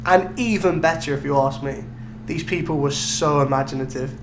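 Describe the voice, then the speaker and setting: A man with a fairly high voice tells a story in casual podcast style. He sounds amused as he explains. There is clear noise and hum behind him. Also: normal speed. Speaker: podcast co-host. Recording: clearly noisy recording with hum.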